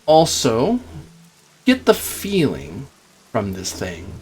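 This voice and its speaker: A man narrating a tabletop game as game master, in a halting, deliberate way with pauses. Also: medium pitch, wildly swooping pitch, a little hiss or hum.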